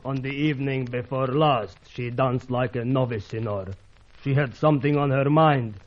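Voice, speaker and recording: An actor playing a courtly, guarded South American cabaret owner in a radio serial, formal and hesitant, with a Latin American accent. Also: male voice, mid-range voice, fairly even intonation, moderate pace, close to an everyday voice. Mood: grave. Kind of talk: old-time radio serial acting. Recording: muffled radio serial with clear music.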